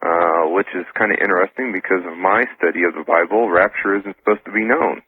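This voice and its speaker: A man's voice: low-pitched, a little fast, slight background noise. A man speaking casually as an interview guest on a radio show. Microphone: telephone. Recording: tinny phone line on archived radio.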